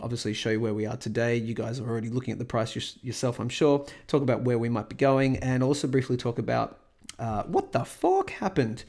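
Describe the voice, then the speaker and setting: Host, male, hoarse and croaky from a cold, rambling with lots of fillers, gravelly edge, mid-pitched. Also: a little mellow. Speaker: host of a crypto price analysis channel. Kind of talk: casual screen-recorded market commentary.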